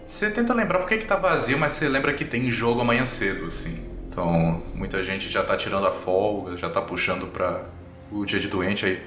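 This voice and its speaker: A man's voice: on the low side, lively intonation, brisk, a bit bright. A man with a Brazilian accent improvising tabletop RPG narration as game master, setting scenes piece by piece. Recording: roomy echo, music underneath. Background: background music.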